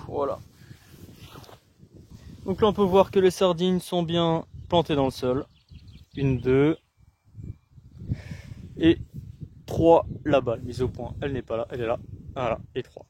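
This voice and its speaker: A man from France talks through his outdoor radio setup in a casual, halting way, stopping and restarting often. His voice sits a little high for a man. A faint noise runs under his speech in the open air. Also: fairly even intonation, warm tone. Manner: hesitant, many fillers and restarts. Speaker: CB radio hobbyist demonstrating his gear. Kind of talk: casual how-to explanation.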